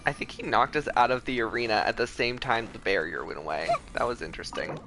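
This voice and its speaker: A man's voice: medium pitch, expressive, fast, very noisy. A man chatting casually and commentating as he livestreams a video game. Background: faint game music.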